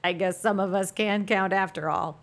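A woman's voice: medium pitch, steady tone, normal speed, natural voice. An actress playing an eccentric, wry small-town mother in her sixties, chatty, playful and evasive in a natural audio drama. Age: 60s.

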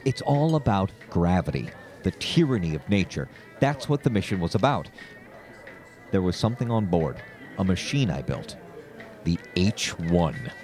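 Hesitant low-voiced scientist, lively intonation. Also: male voice, normal speed, faint music behind. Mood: earnest. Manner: hesitant, formal, explanatory. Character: nervous male scientist, evasive, earnest about his invention. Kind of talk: audio drama acting.

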